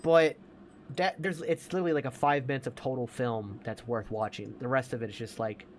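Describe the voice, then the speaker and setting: A man's voice: medium pitch, fairly even intonation, fairly quick, slight background noise. A man chatting casually about movies as a podcast co-host, emphatic, opinionated and given to swearing.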